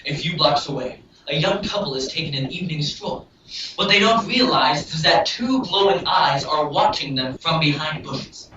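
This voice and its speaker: A man's voice: on the high side, moderate pace, muffled recording, background noise. A man giving a dramatic, homemade narration of an action story. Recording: very echoey, distant, loud music behind.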